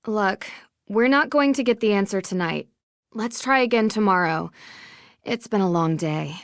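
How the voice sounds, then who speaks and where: Casting: actress as young female detective for a mystery game, medium pitch, courteous, probing, measured, dry studio recording. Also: expressive, no room sound, lightly acted. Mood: calm. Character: polite, inquisitive young woman detective. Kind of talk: video game voice acting.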